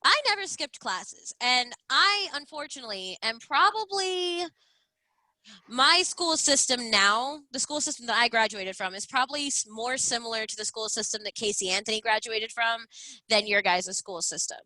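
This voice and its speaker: Emphatic, bright-voiced young woman. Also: on the high side, expressive, moderate pace, dry recording, poor audio. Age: early 20s. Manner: blunt, foul-mouthed, emphatic. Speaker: podcast co-host. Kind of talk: casual true-crime comedy chat.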